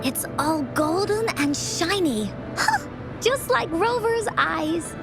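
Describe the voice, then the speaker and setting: A woman voicing a cheerful, earnest little girl in a video game, sweet and childlike, with excitable, gushing delivery. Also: extremely high pitch, slow pace, heavily acted. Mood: delighted, playful. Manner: excitable, gushing, with gasps and giggles. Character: cheerful, earnest little girl who adores her friends. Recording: clear music behind the voice. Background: game background music.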